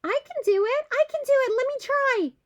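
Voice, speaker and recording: A woman voicing an excitable, giggly, forgetful female squirrel in a children's storybook. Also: very high-pitched, brisk, pitched above the performer's own voice. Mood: thrilled. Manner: excited, squealing, repetitive.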